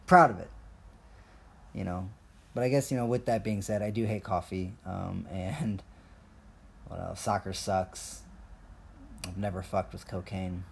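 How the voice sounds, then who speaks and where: A man's voice: lowish voice, creaky, darkish tone, slight background noise. A young man, originally from Colombia, rambling in a profane, jokey, self-mocking rant as a podcast host. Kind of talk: casual rambling monologue.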